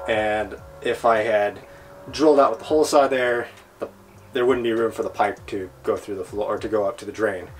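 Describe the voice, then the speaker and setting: A man's voice: medium pitch, a little mellow. A man in his thirties, a van build vlogger, casually explaining his DIY plumbing work to a close handheld camera in a garage workshop, chatty and self-deprecating. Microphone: handheld camera close to face.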